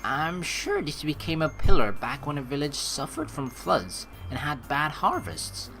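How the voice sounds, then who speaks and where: A young woman doing let's play commentary, reading game text aloud in an animated, playful, blunt and casual way. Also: deep voice, measured pace, soft background music, poor audio.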